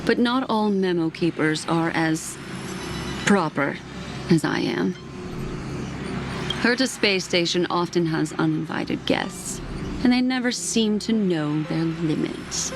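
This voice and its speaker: A woman voicing a composed, secretive keeper of memories in a video game, speaking in a measured, formal way. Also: lowish voice, background noise.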